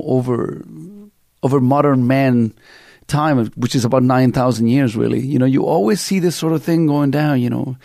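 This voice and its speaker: A middle-aged man from Chile with a Chilean Spanish accent, hosting a talk radio show in an animated, rambling storytelling way. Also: medium pitch, creaky, no echo, clean studio sound. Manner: animated storyteller, many fillers and restarts. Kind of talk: casual opinionated talk radio chat.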